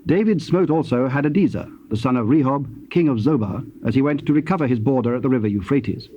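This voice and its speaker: A man with a British accent narrating scripture in a formal, measured, scripted style. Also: mid-range voice, expressive, warm tone. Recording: muffled tape, faint background noise.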